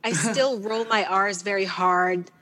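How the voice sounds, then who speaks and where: A woman from the Philippines whose first language is Tagalog speaks as a podcast guest with a medium-pitched voice. She is informal and conversational, thinking out loud as she explains. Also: fairly even intonation, measured pace. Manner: informal, thinking aloud, acts out quotes. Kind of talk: casual conversational interview answers.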